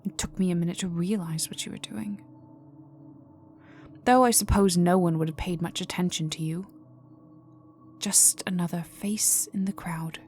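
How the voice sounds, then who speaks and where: A woman playing a cold, haughty vampire aristocrat in a dark ASMR roleplay, condescending and teasing, often dropping to a whisper. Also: lowish voice, fairly even intonation, normal speed, long pauses, slight background noise, natural voice. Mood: coolly amused. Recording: voice over dark ambient music. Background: dark atmospheric music with resonant tones.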